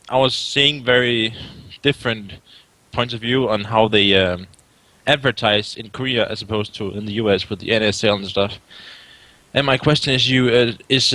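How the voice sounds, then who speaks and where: A man's voice: medium pitch, sharp tone, dry recording. A man from Denmark with a Danish accent, asking questions hesitantly and searching for words.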